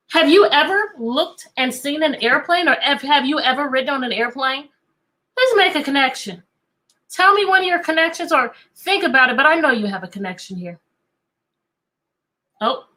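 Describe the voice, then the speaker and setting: A woman with a high, bright voice leads an interactive read-aloud for young children. She sounds like an animated teacher, coaxing her listeners along and repeating her questions so they will respond. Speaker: teacher reading a picture book aloud.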